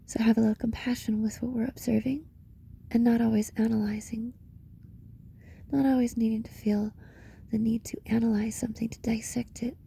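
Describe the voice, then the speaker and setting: Woman, meditation guide, breathy and often whispered, crackly fry, slightly high pitch, short paused phrases, noisy ambient recording. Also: little pitch movement, warm tone. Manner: slow, pausing between short phrases. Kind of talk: guided meditation, reflective spoken monologue.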